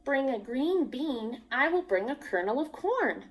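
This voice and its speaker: A woman's voice: high-pitched, sing-song, measured pace. A woman voicing eager villagers from a children's storybook, offering things in a lilting singsong. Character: various eager villagers offering small bits of food. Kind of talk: children's storybook read-aloud.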